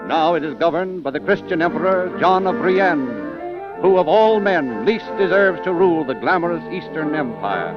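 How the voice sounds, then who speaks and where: A man narrating dramatic old-time radio stories in a theatrical, measured announcer manner. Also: a little high, animated. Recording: muffled old radio recording with music.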